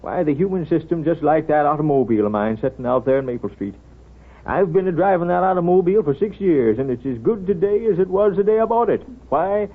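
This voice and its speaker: An actor playing a wry, folksy small-town doctor in an old radio drama, with an American accent and a drawling, gently scolding manner. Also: male voice, on the high side, expressive, brisk. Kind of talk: 1930s radio drama acting. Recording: muffled, scratchy 1930s radio broadcast.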